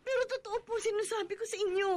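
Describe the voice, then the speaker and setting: A woman's voice: very high-pitched, fast talker. An actress playing a frightened young woman who pleads tearfully with a police sergeant in a radio drama. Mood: pleading.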